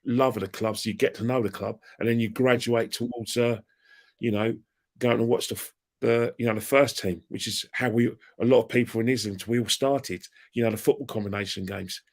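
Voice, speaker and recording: A man's voice: mid-range voice, steady tone, brisk. A man, ranting in a heated casual chat about football fandom and trading colourful insults. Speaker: fan channel guest.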